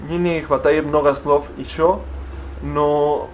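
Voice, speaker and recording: Halting man, dull recording. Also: fairly high pitch, level tone, a little hiss or hum. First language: Spanish. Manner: halting, many restarts and fillers while searching for words. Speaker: language learner vlogging. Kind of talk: unscripted vlog in a learned language.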